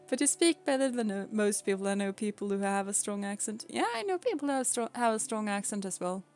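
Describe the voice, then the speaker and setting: A woman's voice: medium pitch, animated, fairly quick, darkish tone, faint music behind. A young woman from Sweden with a Swedish accent, chatting casually with viewers on a live stream, laughing often and explaining things patiently.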